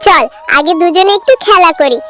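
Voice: extremely high pitch, moderate pace, pushed and bright. A performer voicing a sweet, polite, pleading little girl in a children's cartoon. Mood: cheerful, friendly.

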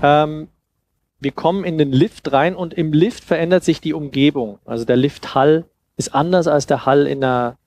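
Man in his thirties, slightly high voice, informal workshop talk, halting explanations, headset, noisy background. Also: fairly even intonation, a little mellow. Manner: halting, explanatory, thinking aloud. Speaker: conference speaker on sound design. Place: seated on a sofa in a festival room. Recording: clear background noise and ambience.

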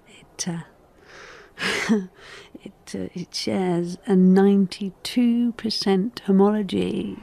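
An actress playing a female forensic scientist who speaks haltingly in an audio drama. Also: medium pitch, steady tone, vocal fry, dark tone, heavily acted. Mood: hesitant, excited. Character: measured female forensic scientist delivering findings.

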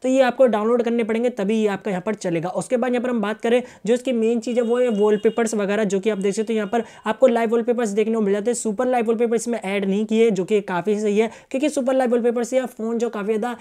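Casting: young male tech reviewer, rapid delivery, softened mellow tone, chummy casual walkthrough style. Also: high-pitched, fairly even intonation. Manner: colloquial, addresses viewers chummily as brothers. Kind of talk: casual phone feature walkthrough.

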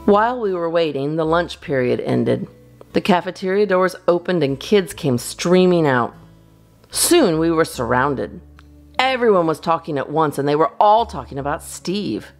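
A woman reading a children's storybook aloud as a storyteller, in an expressive, animated style. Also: low voice, normal speed, faint music behind. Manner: expressive, acts out dialogue. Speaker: storyteller, channel host.

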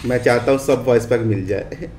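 A man's voice: medium pitch, a little fast, darkish tone, faint music behind. A man with an Indian accent casually walking viewers through a game update in a conversational, tag-heavy way, as host of a gaming video. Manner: conversational, frequent checking tag phrases. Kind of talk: casual screen-capture commentary on game updates. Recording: roomy echo on voice.

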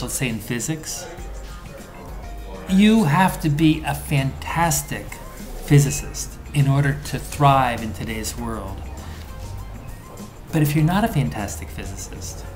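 A man in his fifties, an author being interviewed, explains his ideas in an animated, emphatic way. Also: mid-range voice. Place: indoors in an office room.

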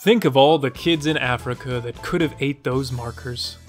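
A man's voice: medium pitch, normal speed, soft background music, lightly acted. A man playing a fussy, disapproving internet commenter in a comedic reading.

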